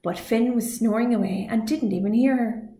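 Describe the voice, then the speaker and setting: Female storytime reader, fairly high voice, expressive delivery for children, noticeable room echo. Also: normal speed. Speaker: librarian reading a picture book. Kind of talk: children's storytime read-aloud. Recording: echoey room.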